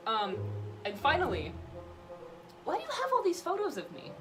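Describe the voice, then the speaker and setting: Young woman, very lively pitch, casual animated vlog storyteller, music playing clearly underneath. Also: moderate pace. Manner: animated, acts out quotes. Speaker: vlogger. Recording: music behind the voice.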